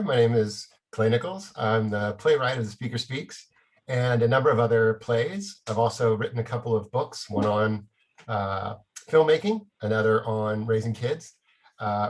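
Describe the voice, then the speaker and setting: A middle-aged man from Texas talking casually about himself and his work as a panel guest. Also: medium pitch. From Dallas, Texas.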